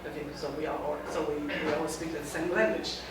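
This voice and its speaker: A middle-aged woman from Taiwan giving an informal talk to a student group, speaking in halting phrases that often restart. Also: deep voice, level tone. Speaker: guest speaker. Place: lecture room. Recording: very echoey room, mic far off, background noise.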